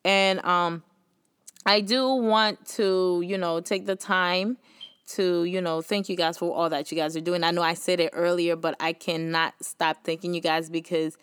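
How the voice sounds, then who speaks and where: A woman's voice: fairly low pitch, steady tone, normal speed, fairly bright tone, smooth, dry recording, studio quality. Earnest Haitian-accented woman podcast host. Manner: earnest, encouraging, with many fillers. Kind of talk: casual faith podcast talk.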